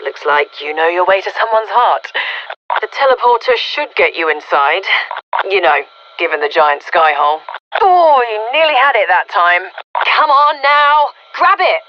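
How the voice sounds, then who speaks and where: A woman's voice: low voice, normal speed, highs cut off, a little hiss or hum. An actress voicing a wry, inquisitive female research scientist in a video game, speaking expressively through a radio filter. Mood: wry concern. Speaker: video game mission commander. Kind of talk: scripted video game voice acting.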